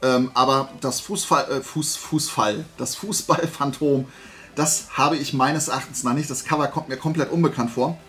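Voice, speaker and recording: A man's voice: mid-range voice, steady tone, moderate pace, fairly bright tone. Middle-aged man, casual rambling haul chat. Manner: rambling, self-interrupting. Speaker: collector presenting flea market finds. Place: indoor room lined with shelves of collectibles.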